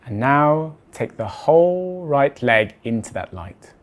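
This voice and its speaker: A man leading a guided body scan meditation in a measured, deliberate way, letting his phrase endings fall soft. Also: medium pitch, sing-song.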